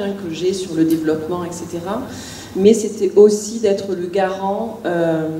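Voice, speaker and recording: A woman's voice: on the low side, mellow, reverberant, recorded from a distance, a little hiss or hum. A middle-aged woman, a village mayor, answering questions on a panel, hesitant with many restarts and fillers.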